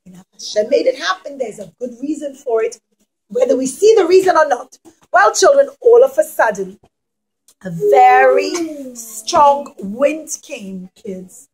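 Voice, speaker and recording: Children's storyteller: woman, high voice, dramatic delivery, emphatic pauses, questions for young listeners. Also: expressive, darkish tone, rough recording.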